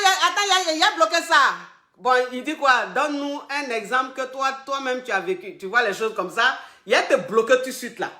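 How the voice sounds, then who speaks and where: A woman with a slight head cold, giving coaching advice on a live stream, repeating herself and bursting out loudly on key points. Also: high-pitched, echoey room. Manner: emphatic and repetitive, loud bursts on key points. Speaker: relationship coach.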